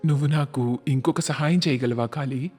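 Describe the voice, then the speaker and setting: A man's voice: medium pitch, fairly even intonation, normal speed, warm tone, slightly rough, close to an everyday voice. An actor playing a proud young businessman in a cartoon story, speaking in an emphatic, dramatic way. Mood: grateful, tender. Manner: emphatic, dramatic, repeats words. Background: background music.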